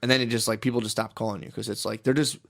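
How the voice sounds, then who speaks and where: A man co-hosting a crude comedy podcast, swearing a lot and often saying dude, who drops low and quiet when he retells stories. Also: very fast, creaky. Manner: profane, animated, says dude a lot. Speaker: podcast co-host.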